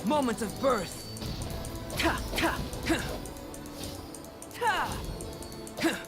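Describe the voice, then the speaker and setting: A woman's voice: high-pitched, big pitch swings, very slow, full character voice. An actress playing a confident young woman fighter, calling out battle lines in an anime fantasy game over music. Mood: determined, battle-ready. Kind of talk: video game battle barks.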